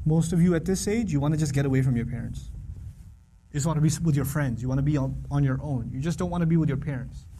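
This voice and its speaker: A man speaks earnestly to a young audience in a religious talk. His delivery is conversational and he repeats phrases for emphasis. His voice sits a little high for a man, with a faint hiss of noise behind it. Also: fast talker, warm tone, poor audio. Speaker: Islamic speaker.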